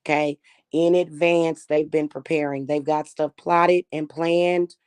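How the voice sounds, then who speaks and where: A woman talks straight to her viewers in a loose, chatty way. Her voice is slightly hoarse, and her tone stays defiant and unbothered. She calls the audience "y'all" throughout. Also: low voice, level tone, measured pace, darkish tone. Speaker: spiritual reader and channel host. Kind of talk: casual talk to viewers.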